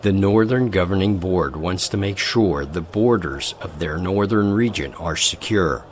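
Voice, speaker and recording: A deep-voiced commanding general. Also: male voice, a little hiss or hum. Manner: measured, authoritative. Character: commanding older male army general.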